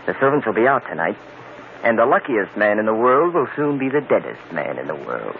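An actor playing a cold, greedy young lover, domineering and smug, in vintage radio drama style. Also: male voice, fairly low pitch, sing-song, brisk. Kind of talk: 1940s radio acting. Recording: muffled, hissy old radio broadcast.

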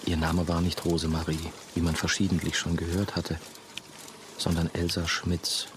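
An actor playing a brooding monastery novice who narrates his own story in a measured, musing, dry way. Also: deep voice, monotone, noisy background. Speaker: narrator and main character. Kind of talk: first-person radio play narration.

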